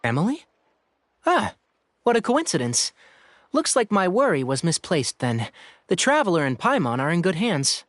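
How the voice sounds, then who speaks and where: A man playing a gentle, considerate young forest watcher in a video game, earnest and explanatory. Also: high voice, huge pitch swings, moderate pace, long pauses, slight background noise. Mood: concerned, relieved. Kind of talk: video game character acting. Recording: music behind the voice.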